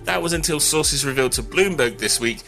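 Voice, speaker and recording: Male tech commentator, punchy bright delivery, opinionated and dry-witted, over a music bed. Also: fairly high pitch, expressive, a little fast, creaky. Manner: wry, opinionated. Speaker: technology news commentator. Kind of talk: conversational news commentary. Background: background music.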